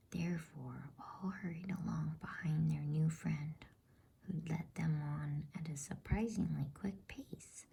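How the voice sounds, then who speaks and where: A woman reading a bedtime story in a soothing, breathy ASMR whisper with deliberate pauses. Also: low-pitched, dark tone. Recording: faint background noise.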